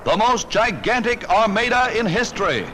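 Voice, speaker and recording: A man's voice: high-pitched, very animated, normal speed, heavy background noise. An American man reading a scripted 1940s newsreel narration in an urgent, dramatic, declamatory manner on a vintage soundtrack. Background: loud music.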